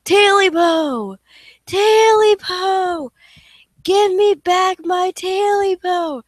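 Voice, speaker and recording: Woman reading as a small clawed night creature: high, slow, ghostly wail. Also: dry recording, clean studio sound, exaggerated voice. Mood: menacing wailing. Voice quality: drawn-out eerie wailing. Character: moaning stubby clawed male creature hunting its tail.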